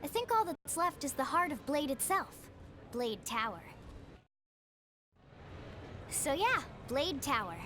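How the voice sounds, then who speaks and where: A woman's voice: sits high, big pitch swings, slow pace, pauses often, a bit bright, a little hiss or hum, heavily acted. An actress playing a perky, eager young woman guiding a tour in a video game, with a bubbly, playful, pushy manner. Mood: excited, chatty. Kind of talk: video game cutscene acting.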